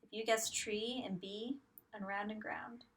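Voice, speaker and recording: A woman teacher reading a picture book aloud to young children, expressive and sing-song, pausing to ask guessing questions. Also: mid-range voice, recorded from a distance, low-quality recording.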